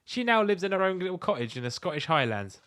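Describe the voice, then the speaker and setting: A man's voice: high-pitched, sing-song, fast talker, fairly bright tone. A man co-hosting a podcast in casual comic chat, blunt and sweary with an incredulous way of talking. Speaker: podcast co-host. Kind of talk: casual comic chat, reading out letters and reacting.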